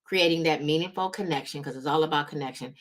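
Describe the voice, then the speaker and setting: A woman's voice: deep voice, fast talker. A woman hosting a motivational business talk as a strategist, conversational and emphatic, often checking in with short tag questions. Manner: conversational, emphatic repetition, frequent check-in tags like right and okay. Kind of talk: motivational business coaching talk to online audience.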